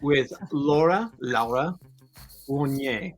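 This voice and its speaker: A man's voice: medium pitch, slow, no room sound, soft background music, rough recording. Male podcast host, chatty, enthusiastic, close dry mic. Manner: chatty, enthusiastic, with frequent restarts. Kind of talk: interview podcast, scripted intro then casual chat.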